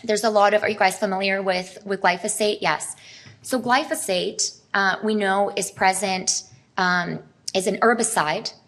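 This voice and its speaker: A woman's voice: medium pitch, fairly even intonation, normal speed, fairly bright tone. A middle-aged woman from Serbia, giving an earnest, explanatory presentation to a live audience at a health expo and asking them questions.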